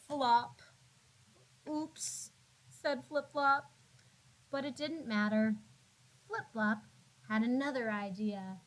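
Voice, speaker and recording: A woman with a fairly high voice reads a picture book aloud for young children. She goes very slowly, stretching words out and giving the narration dramatic, teacherly expression. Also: fairly bright tone, low-quality recording. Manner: dramatic, drawn-out words and sound effects for young listeners. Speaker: classroom teacher reading aloud.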